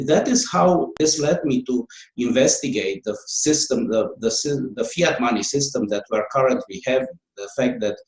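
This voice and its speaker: A man's voice: medium pitch, reverberant, rough recording. A man with a Romanian accent, a guest author answering interview questions in a hesitant way, with many fillers and restarts.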